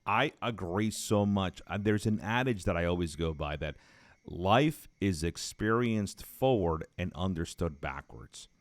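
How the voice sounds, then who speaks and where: A man's voice: low-pitched, animated, measured pace, dry recording. A man hosting a conversational interview, emphatic and repeating phrases as he builds a point. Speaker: podcast host.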